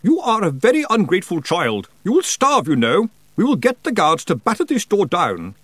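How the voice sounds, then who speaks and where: A man's voice: sits high, very animated, brisk, a little mellow, no room sound, pitched above the performer's own voice. A man voicing a peevish, bossy older aunt in an audiobook. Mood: angry, scolding. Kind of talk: audiobook character voice.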